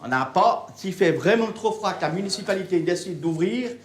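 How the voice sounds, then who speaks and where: A man's voice: sits high, lively intonation, fairly quick. A man, a shelter worker scolding his colleagues bluntly in a heated argument. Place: echoey underground shelter. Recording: echoey documentary room sound.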